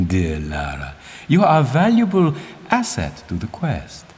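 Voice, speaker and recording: Older archaeologist, low voice, swooping intonation, stern, pompous, condescending, formal instruction, video game mentor. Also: male voice, huge pitch swings, a little slow, a little mellow. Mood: dry, condescending. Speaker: mentor and guide. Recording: long cavernous reverb.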